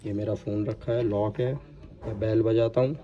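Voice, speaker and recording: A man's voice: fairly low pitch, fairly even intonation, normal speed, lo-fi sound, heavy background noise. A man giving an unscripted, step-by-step hands-on installation demo, mixing in English technical terms. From India. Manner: step-by-step explaining with English technical words mixed in. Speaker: product installation demonstrator.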